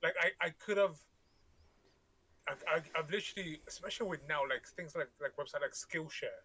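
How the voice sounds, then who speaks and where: A man's voice: on the high side, fairly quick, pushed and bright, slight background noise, rough recording. A man whose first language is Tagalog, co-hosting a podcast in a rambling, casual way, often restarting and swearing freely. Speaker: podcast co-host. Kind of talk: casual chat.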